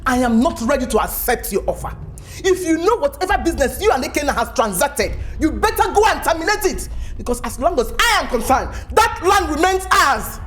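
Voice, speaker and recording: High-pitched indignant Nigerian mother. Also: big pitch swings, fairly quick, fairly bright tone, faint music behind. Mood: defiant. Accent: Nigerian, Igbo. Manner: emphatic, accusing, repeats herself. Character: indignant wife and mother defending her husband's land. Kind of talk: film drama acting.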